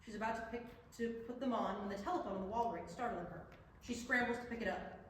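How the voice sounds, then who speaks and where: Quick woman narrator in a very echoey hall. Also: fairly high pitch. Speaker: reader of stage directions. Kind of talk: scripted narration at a staged reading. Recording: very echoey hall, distant mic, slight noise.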